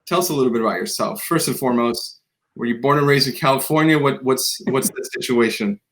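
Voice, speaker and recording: A man's voice: medium pitch, fast, fairly bright tone. Rambling man hosting a podcast. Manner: rambling, many restarts and fillers. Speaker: podcast host. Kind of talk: conversational interview.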